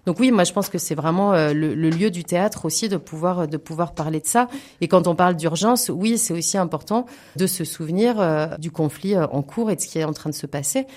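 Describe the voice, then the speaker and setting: A woman's voice: fairly low pitch, brisk, darkish tone. A woman speaking as a theatre guest in a spontaneous interview, earnest and emphatic while often searching for words. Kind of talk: spontaneous radio interview conversation.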